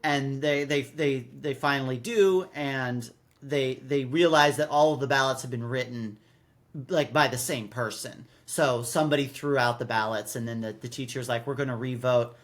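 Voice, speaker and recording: A man's voice: medium pitch, steady tone, normal speed, a bit bright. A man co-hosting a podcast, recapping a story in casual chat with many repeated words and rambling, exasperated asides. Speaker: podcast co-host. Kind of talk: casual chat, recapping and critiquing a radio drama. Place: recorded in person with co-host.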